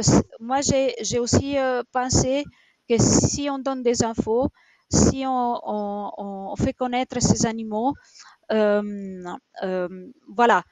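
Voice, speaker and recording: A woman's voice: fairly high pitch, no room sound. A woman in her forties from Italy, speaking French with an Italian accent as a shelter founder in an interview, hesitant and full of fillers. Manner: hesitant, many fillers and restarts. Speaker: rabbit shelter founder, guest. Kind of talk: interview answers.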